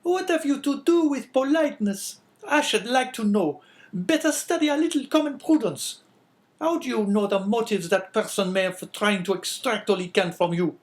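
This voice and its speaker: A man reading an audiobook voices a sickly, suspicious wife in a shrill, scolding tone. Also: animated, moderate pace.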